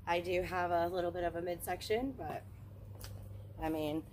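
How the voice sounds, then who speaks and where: Woman, forties, low pitch, chatty and frank, phone held at arm's length, faint outdoor hiss. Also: steady tone, moderate pace, a little mellow. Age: 40s. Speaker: witchcraft channel host. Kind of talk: casual to-camera vlog. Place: outdoors in leafy woods. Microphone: handheld phone camera at arm's length.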